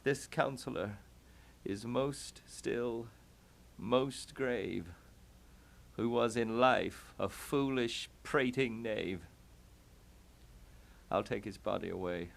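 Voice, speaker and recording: A man's voice: mid-range voice, slow, creaky, pauses often. A man acting a mocking, impulsive, grief-maddened young prince in a theatrical Shakespearean puppet show. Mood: sardonic. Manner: dramatic, declaiming, sardonic.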